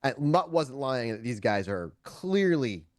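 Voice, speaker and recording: A man's voice: mid-range voice, unhurried, no room sound, studio quality. A man narrating a tabletop game as game master, improvising with plenty of profanity. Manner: profane, animated storytelling.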